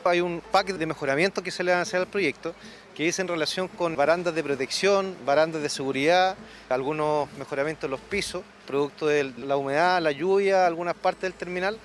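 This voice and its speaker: A Chilean man gives an explanatory statement as a municipal engineer. His voice is slightly high, recorded outside with faint urban and vehicle noise behind it. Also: a little fast. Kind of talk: explanatory news interview statement.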